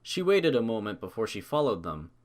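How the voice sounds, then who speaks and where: A man's voice: on the low side, big pitch swings, brisk. A man giving a scripted narration of a story as an audiobook narrator.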